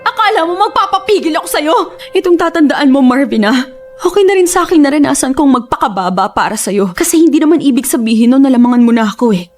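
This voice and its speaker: An actress playing a betrayed wife in a radio drama, tearful, accusing, hurt and defiant. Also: female voice, high voice, animated, fast talker, a little mellow. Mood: cold defiance. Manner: tearful, accusing, emotional outbursts. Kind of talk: Tagalog radio drama acting. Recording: music behind the voice.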